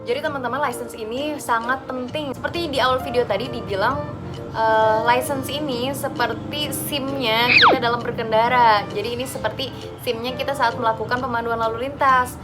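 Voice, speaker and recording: A woman's voice: on the high side, fairly even intonation, normal speed, over music. A woman with an Indonesian accent, explaining things in an informal, instructive vlog style as if talking to friends. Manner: conversational, instructive, addressing listeners as friends.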